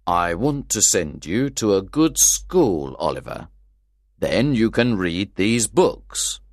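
Man playing a gentle elderly gent, low voice, unhurried, lively intonation, storybook. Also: no echo, clean studio sound, heavily acted. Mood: kindly. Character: kind, wealthy older gentleman. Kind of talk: storybook reading.

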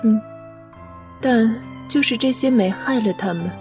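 A woman playing a gentle, sorrowful storyteller telling a legend softly in a Chinese costume radio drama. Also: a little high, measured pace, lightly acted. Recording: distant voice, music behind.

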